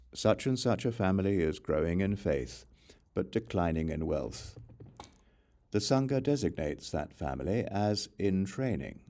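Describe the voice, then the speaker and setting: A man reading scripture as an audiobook narrator, in a measured, formal, scripted recitation. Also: sits low, dark tone.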